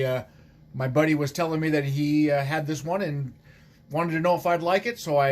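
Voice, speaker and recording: A man speaking as an amateur radio operator in casual conversation, in a halting, hesitant way. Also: a little high.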